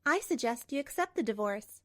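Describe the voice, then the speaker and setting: A woman playing a composed betrayed wife in a manga dub, narrating and speaking with measured, pointed delivery. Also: a little high, animated, no room sound, clean studio sound, lightly acted. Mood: firm. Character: betrayed wife, composed, coolly resolute, narrating her story.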